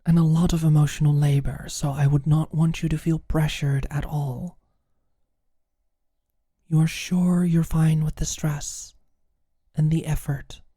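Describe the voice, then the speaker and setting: An actor as husky British workaholic manager, dark soft tone, dryly teasing, caring, intimate ASMR audio drama. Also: medium pitch, no room sound. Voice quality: soft, breathy, often near-whispered. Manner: dry, gently teasing, solicitous.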